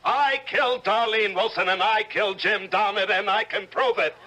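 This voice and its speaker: An actor playing a stern, overwrought judge on the edge of madness in an old-time radio drama, declaiming theatrically. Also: male voice, high voice, fairly even intonation, moderate pace, sharp tone, poor audio. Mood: frenzied, confessing.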